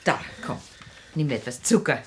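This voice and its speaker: A woman's voice: low voice, animated, normal speed, a little mellow. An actress playing an anxious, caring wife and mother in a 1950s German radio drama, speaking in a solicitous, pleading way. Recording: roomy, hissy 1950s radio recording.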